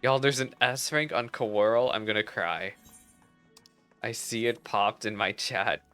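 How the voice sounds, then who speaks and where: A man with a fairly low voice chats casually as he plays through a game. He reacts in a playful, slangy way, with some music playing behind him. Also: unhurried, pushed and bright, smooth. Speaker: game streamer. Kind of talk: casual playthrough commentary with read-aloud dialogue.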